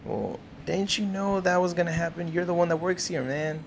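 A man's voice: on the high side, moderate pace, creaky, noisy background. A young man doing casual gameplay commentary, slangy and foul-mouthed, often repeating himself. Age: young adult. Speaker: gamer, streamer. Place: small room indoors at home. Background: loud game audio and music.

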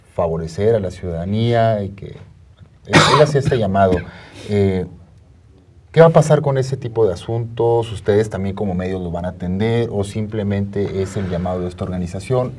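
Mellow, low man interviewing. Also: normal speed, distant mic, slight background noise. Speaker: interviewer, co-host. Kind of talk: conversational studio interviewing. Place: TV studio, indoors, round table. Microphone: lapel mic.

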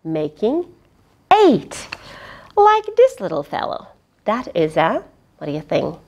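A middle-aged woman reading a picture book aloud to children, expressive and teacherly, with questioning asides. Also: lowish voice, takes it slowly. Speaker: children's storyteller, host. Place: small indoor fabric tent with cushions.

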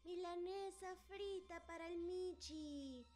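A woman voicing a solemn, dramatic occult-loving cat girl in a cartoon fan dub, with drawn-out incantations. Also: very high-pitched, music in the background, pitched above the performer's own voice.

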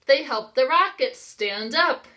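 A woman's voice: sits high, animated, takes it slowly, pushed and bright. An American woman in her thirties, a preschool teacher reading aloud to young children with simple, encouraging phrasing and questions. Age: 30s. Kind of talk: interactive read-aloud lesson for young children.

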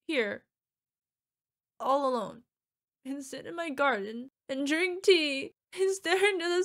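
A woman playing an affectionate young orc girlfriend in an ASMR roleplay, emotional and playful as she talks to her partner. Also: high-pitched. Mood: wistful, pouting. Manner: gushing, emotional, playful, talking to an unheard partner. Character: young orc girlfriend, fierce yet cutesy, needy, affectionate.

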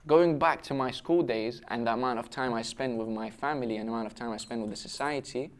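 A man's voice: mid-range voice, fast. Earnest young man raised in Syria and Britain. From raised in Syria until twelve, then the UK. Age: young adult. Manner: earnest, self-questioning, frequent rhetorical questions. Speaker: panel discussion participant. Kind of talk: casual round-table discussion, personal reflection. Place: indoor restaurant-style set with brick walls, seated at table. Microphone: lapel mic.

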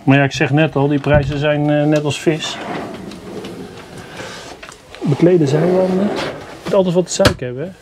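A man's voice: on the high side, steady tone, normal speed, pauses often. A man casually walking through a motorhome, chatty and enthusiastic with mild grumbling. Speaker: vlogger reviewing campers. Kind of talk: casual walkthrough vlog. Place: inside a motorhome at a product show. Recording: noisy camera audio.

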